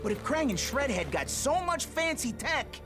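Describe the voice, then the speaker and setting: An actor playing a hot-headed, sarcastic young mutant ninja turtle in a cartoon game. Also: male voice, very high voice, sing-song, normal speed. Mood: exasperated. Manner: gruff, streetwise, sarcastic. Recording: music under the voice.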